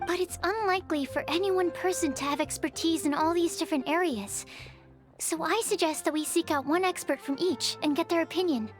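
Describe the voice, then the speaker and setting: A woman's voice: very high voice, fairly quick. A woman playing a shy, studious young female alchemist in a video game, speaking in a hesitant, careful, analytical way. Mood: earnest, polite. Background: music.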